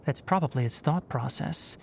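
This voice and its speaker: A man's voice: on the high side, moderate pace, vocal fry, a little mellow, a little hiss or hum. A man playing a young, bookish forest ranger in a video game, with a light youthful voice and precise, instructive manner. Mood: matter-of-fact, musing. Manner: matter-of-fact, instructive, precise diction. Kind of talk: video game character acting.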